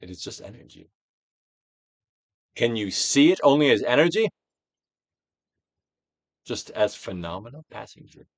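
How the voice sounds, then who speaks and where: A man narrates a self-help book like a stage performance. His pitch swings widely as he swells and drops his emphasis with deliberate care. The recording is dry and close, with hardly any room sound. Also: big pitch swings, no echo. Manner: theatrical, deliberate delivery with swelling and falling emphasis. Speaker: narrator, audiobook reader. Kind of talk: dramatic reading of a self-help book, guided exercise.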